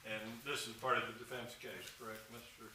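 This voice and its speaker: A man addresses a jury as judge, folksy and even-toned. He is picked up from a distance in an echoey courtroom. Steady noise hangs under the voice. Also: lowish voice, a little fast. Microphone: far room mic.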